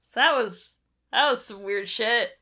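A woman's voice: fairly high pitch, measured pace, bright, forceful tone. A woman co-hosting a podcast in casual chat, recapping an old cartoon with a sweary, incredulous manner. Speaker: podcast co-host.

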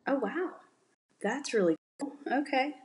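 A woman's voice: sits high, unhurried, a little mellow. A woman hosting an interview, asking long, rambling questions and giving encouraging replies. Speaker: podcast host. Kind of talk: casual interview chat.

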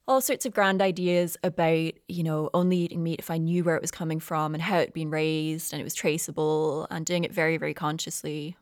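A woman's voice: low-pitched, no echo, studio quality. A Scottish woman co-hosting a podcast, talking thoughtfully and self-questioningly about her own choices. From Scotland. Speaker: podcast co-host. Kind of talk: reflective personal narration.

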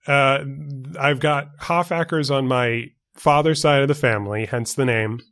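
A man's voice: mid-range voice, fairly bright tone. A young man from New York City co-hosting a podcast, choosing his words carefully, with many fillers and restarts. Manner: measured, precise wording with many fillers and restarts. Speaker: podcast co-host. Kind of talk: casual chat.

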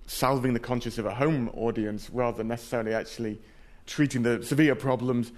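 A man's voice: mid-range voice, fairly even intonation, a little fast. A man giving off-the-cuff political analysis as an expert panelist at a live event. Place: live event space before an audience.

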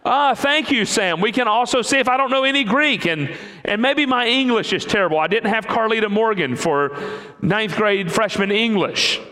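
A man preaching in a church sanctuary, his voice high for a man. He talks conversationally and keeps putting questions to the congregation. The sound carries a long, hall-like reverb. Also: animated, moderate pace, pushed and bright. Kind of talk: interactive sermon with congregation.

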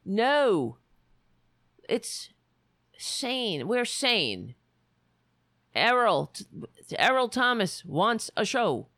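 A woman hosting a political livestream with a worn, raspy voice, talking bluntly and sarcastically while reading the chat. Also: a little high, very animated, drawn-out words, long pauses, fairly bright tone, dry recording, clean studio sound. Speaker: livestream show host.

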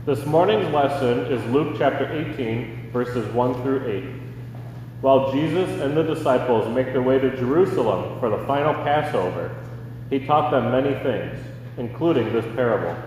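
A man's voice: mid-range voice, darkish tone. American guy doing a formal bible reading, far from the mic, super echoey church, bit of noise. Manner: measured, formal. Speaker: scripture reader. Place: large indoor church. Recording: very echoey, distant, noisy hall.

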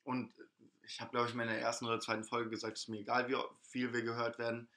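Slangy young podcast host. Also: male voice, medium pitch, completely flat delivery, quick pace, bright, forceful tone. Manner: slangy, enthusiastic, joking. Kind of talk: casual chat.

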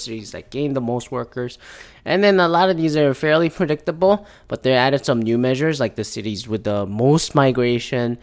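A man hosting a solo podcast, talking casually and loosely with lots of fillers, restarts and cursing, his voice a little rough from an itchy throat. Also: mid-range voice. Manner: rambling, loose and profane, with frequent fillers and restarts. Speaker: podcast host.

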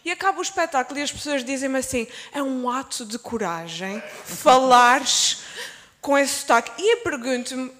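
A woman from the Azores speaks on a discussion panel with a clear Azorean accent. Her voice sits high, and she often hesitates and restarts her words. The sound carries a long hall reverb. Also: animated, moderate pace, a bit bright. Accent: Azorean Portuguese. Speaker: panelist, stage performer. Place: public event space.

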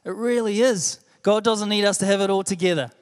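A young man with a New Zealand accent preaches a sermon in a high, light voice. He talks earnestly and conversationally, often doubling back to repeat his words. The sound carries a long echo from a church hall. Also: a little fast. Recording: echoey hall.

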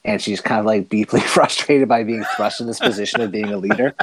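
A man's voice: fairly low pitch, brisk, a little hiss or hum. A man with a Maritime Canadian accent, chatting casually and self-deprecatingly as a comic book writer being interviewed. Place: remote call recording.

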